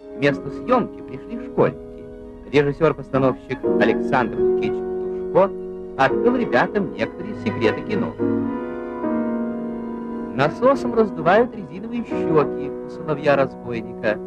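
A man's voice: on the high side, sing-song, moderate pace, highs cut off. A man reading scripted narration in the style of a 1950s newsreel. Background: loud orchestral or piano music.

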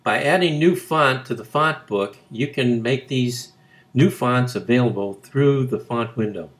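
A man narrating scripted instructions as a tutorial, reading in measured phrases. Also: mid-range voice.

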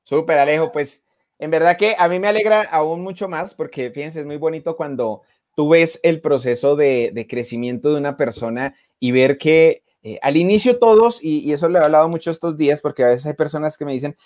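A young man hosting a live video call interview, enthusiastic and greeting his viewers, talking through earphones from a room with bookshelves. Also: fairly quick. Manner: enthusiastic, warm greetings to viewers, lively pitch swings. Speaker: host and mentor. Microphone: earphone headset on video call.

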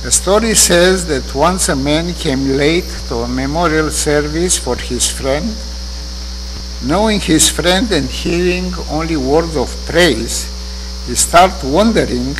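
An older man with a Romanian accent speaks slowly and earnestly at a lectern microphone. His fairly high voice carries a long reverb through the sanctuary, with a clear electrical hum underneath. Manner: slow, measured, earnest delivery. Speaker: widower giving a eulogy. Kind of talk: memorial eulogy. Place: synagogue sanctuary.

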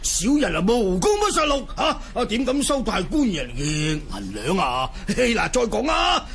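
沙啞高亢、諂媚嘅老江湖仵作. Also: male voice, sits high, sing-song, normal speed, gravelly, fairly bright tone, a little hiss or hum, full character voice. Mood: fawning, eager. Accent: Hong Kong Cantonese. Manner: obsequious, stammering with repeated words. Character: fawning, wily male undertaker, humble and excitable. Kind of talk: Cantonese radio drama acting.

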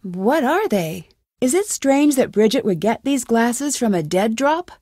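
An earnest young female detective from a mystery video game. Her voice sits on the high side and she speaks with clear, measured curiosity. The sound is close and dry, with very little room. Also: big pitch swings, dark tone, no echo. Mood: inquisitive. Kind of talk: scripted video game voice acting.

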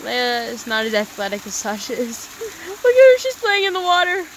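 A woman's voice: sits high, steady tone, moderate pace, slight background noise. A woman chatting casually from behind a phone camera in a backyard, amused and laughing often. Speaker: pet vlogger filming her dogs. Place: outdoors in a backyard by a pool.

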